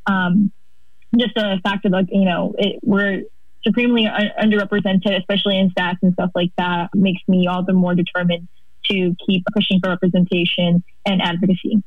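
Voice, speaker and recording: A woman's voice: on the low side, flat delivery, normal speed, dry recording. Young woman on a tinny phone line. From Central Valley, California. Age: young adult. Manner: earnest, slightly rambling. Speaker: student guest. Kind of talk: personal introduction on a call-in.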